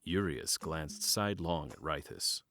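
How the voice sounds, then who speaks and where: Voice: a bass voice, big pitch swings, a little slow, gravelly, a bit bright, exaggerated voice. A narrator reading scripted storytelling for a fantasy audio drama.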